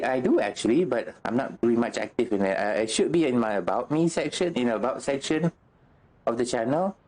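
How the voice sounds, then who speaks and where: A man chatting casually as a game streamer, halting and repeating himself as he searches for words, mildly exasperated. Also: medium pitch, a little mellow, rough recording. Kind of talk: casual livestream chat while gaming. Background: music.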